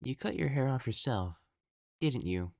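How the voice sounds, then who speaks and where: A man's voice: mid-range voice, very animated, measured pace, gravelly, a bit bright, no echo, clean studio sound, exaggerated voice. A man voicing an elegant, effeminate male matchmaker in a scripted audio roleplay, prim and formal, haughty yet easily flustered. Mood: haughty, instructive.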